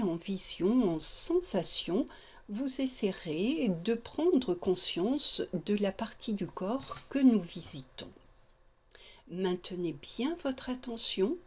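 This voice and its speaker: A woman with a soft, breathy voice teaches yoga nidra, guiding a relaxation meditation in short, soothing phrases with long pauses. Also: medium pitch.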